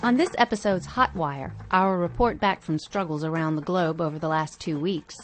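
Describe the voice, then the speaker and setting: A woman's voice: on the low side, normal speed, over loud music. A woman co-hosting a podcast, reading scripted news. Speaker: podcast co-host.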